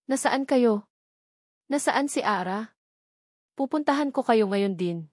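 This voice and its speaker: A woman's voice: on the high side, lively intonation, unhurried, a little mellow, no room sound, studio quality. A woman voicing an anxious, gushing, two-faced female friend in an audio romance drama. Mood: overjoyed, hysterical.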